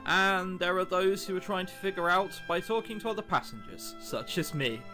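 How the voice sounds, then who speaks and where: A man's voice: high voice, moderate pace, lightly acted. A man voicing a friendly, reassuring female shadow ghost in a visual novel, with music behind. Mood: gently reassuring. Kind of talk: visual novel dialogue reading.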